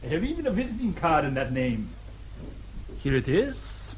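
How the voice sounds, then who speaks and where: An actor playing a grave, courteous German man in a radio drama, speaking formally and deliberately with a German accent. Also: on the high side, sing-song, slight background noise, rough recording. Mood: grave, confiding. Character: courteous, principled German man, grave confidant or consular clerk.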